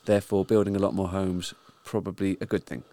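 A man hosting a weekly news talk show, speaking in a measured way with frequent ums. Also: sits low, flat delivery, warm tone. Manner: measured, hesitant with many ums. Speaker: podcast host. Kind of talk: casual news discussion.

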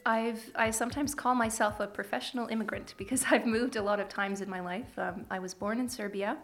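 A woman's voice: fairly high pitch, fairly even intonation, fairly quick. A woman in her thirties with a Canadian accent, chatting thoughtfully and a little hesitantly as a musician guest in a radio interview.

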